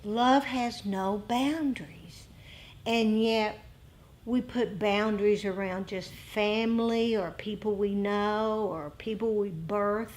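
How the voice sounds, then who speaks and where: A woman giving an unscripted dharma talk as a meditation teacher, with deliberate, emphatic phrasing and some repetition. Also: medium pitch, fairly even intonation, a little mellow. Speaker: meditation teacher leading group discussion. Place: group meditation meeting room. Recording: clear background room noise.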